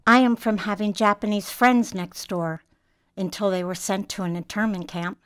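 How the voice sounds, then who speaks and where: A woman's voice: medium pitch, steady tone, moderate pace, clear, resonant tone. An older woman reciting personal memories in a reflective way.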